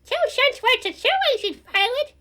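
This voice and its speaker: Tiny childlike monster for comic radio play: extremely high, piercing bright voice, earnest, innocent, eager. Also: male voice, expressive, unhurried, full character voice. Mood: cheerful, eager. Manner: speaks of self in third person, earnest. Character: Elmo, small childlike monster, eager and innocent, playing a boy. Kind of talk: comedic radio play acting.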